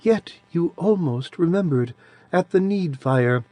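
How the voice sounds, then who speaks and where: A man's voice: a little high, expressive, unhurried, no echo, pitched above the performer's own voice. A man doing Adiran, a sweet-voiced ancient crone. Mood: eerie calm. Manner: soft and quiet. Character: ancient tiny crone of a coven, sweet childish voice. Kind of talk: audiobook character voice.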